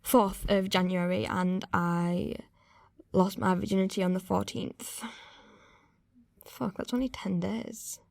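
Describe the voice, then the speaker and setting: A young woman playing a young woman uneasily recalling her past in a halting, candid radio-play monologue. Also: mid-range voice, steady tone, vocal fry, darkish tone, no room sound. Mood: uneasy, reflective. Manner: hesitant, halting, candid, swearing. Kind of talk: naturalistic confessional monologue.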